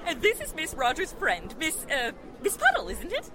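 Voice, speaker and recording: A woman's voice: high voice, wildly swooping pitch, normal speed, pushed and bright, some chatter behind, slight background noise, heavily acted. A woman playing a middle-aged, scatterbrained society mother with an effusive, theatrical, chattering manner in a 1940s-style radio drama. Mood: fussy, gushing.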